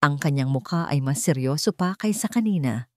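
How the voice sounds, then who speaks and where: Female narrator for a melodramatic story, lower register, scripted read, very dry studio-like sound. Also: animated, brisk, no room sound.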